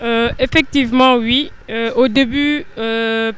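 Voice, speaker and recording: A woman's voice: on the high side, fairly even intonation, slow pace, fairly bright tone, slight background noise. A young woman from Senegal with a Senegalese accent, a guest answering interview questions earnestly, hesitating often with filler sounds.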